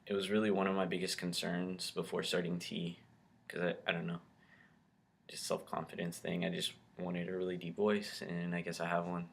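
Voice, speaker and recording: A man's voice: sits low, creaky. A young man talking casually to his camera in a vlog, rambling with lots of ums and likes. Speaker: vlogger.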